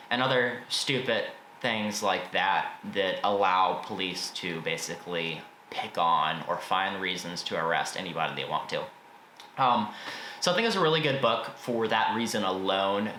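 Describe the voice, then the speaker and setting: A man's voice: deep voice, pushed and bright. An American man giving a casual, informal and opinionated book review as a video host. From United States. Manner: informal, opinionated, mild swearing. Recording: roomy echo, faint background noise.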